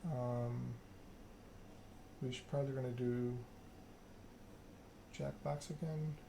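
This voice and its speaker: Soft-spoken man with little pitch movement, noisy background. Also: steady tone, slow, vocal fry. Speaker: game streamer, host. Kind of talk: soft-spoken ASMR reading and stream chat.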